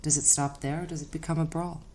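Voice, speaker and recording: Mysterious otherworldly woman drifter played by an actress, deep female voice, grave, measured and ominous, for audio drama. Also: flat delivery, mellow, a little hiss or hum, lightly acted. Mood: quietly ominous. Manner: measured, cryptic, grave storytelling. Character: enigmatic woman hitchhiker, calm, knowing, ominous otherworldly collector.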